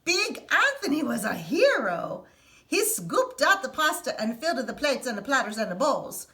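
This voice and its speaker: A woman teacher reading a picture book aloud to children in an expressive storytelling manner. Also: sits high, moderate pace. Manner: expressive, sing-song storytelling.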